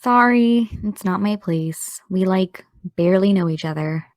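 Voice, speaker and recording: A woman playing a caring, gently teasing young woman in an audio drama, speaking in a casual, slightly tentative way. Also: mid-range voice, measured pace, no echo, studio quality, close to an everyday voice. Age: young adult. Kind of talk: naturalistic audio drama dialogue.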